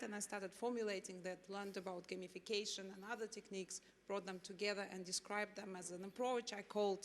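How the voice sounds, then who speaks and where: A woman's voice: medium pitch, a bit bright, a little hiss or hum. A woman from Moldova giving a live self-introduction as a panelist. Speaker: panelist, business owner. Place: conference hall, before an audience. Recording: echoey hall with long reverb.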